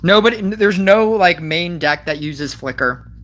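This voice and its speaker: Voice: medium pitch, expressive, normal speed, fairly bright tone. A game streamer chatting casually over mobile gameplay, giggly and rambling with lots of fillers. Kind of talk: casual gameplay commentary with chat.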